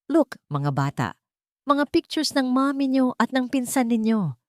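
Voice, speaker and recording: A woman's voice: high-pitched, sing-song, a little slow, darkish tone, no echo, voice pushed up. Woman acting as scheming storybook sister, feigned sweetness, insinuating, fake nostalgia, very dry sound. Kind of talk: audio storybook character dialogue.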